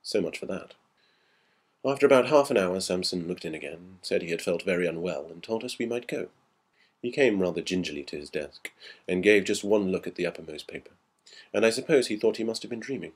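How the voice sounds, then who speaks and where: Very deep, husky-voiced male storyteller. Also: lively intonation, fairly quick. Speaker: audiobook narrator. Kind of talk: scripted storytelling of a classic ghost story.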